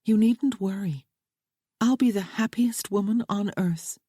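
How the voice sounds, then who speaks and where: An earnest, emotional young bride, as a woman narrator puts her on, her voice pushed higher than the reader's own. Underneath sits a low, mellow, husky tone, recorded dry and close. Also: measured pace, dry recording.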